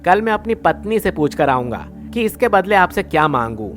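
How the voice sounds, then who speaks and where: A man's voice: high voice, very animated, moderate pace, a bit bright, faint music behind. A man playing a greedy village thief in a devotional story, brash, colloquial and grumbling. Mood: bargaining.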